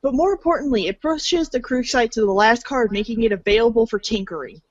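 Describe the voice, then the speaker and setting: A woman's voice: a little high, brisk, a little mellow, slightly rough, dry recording, dull audio. A woman narrating a scripted read-along storybook in an informal, playful way, stumbling over words now and then.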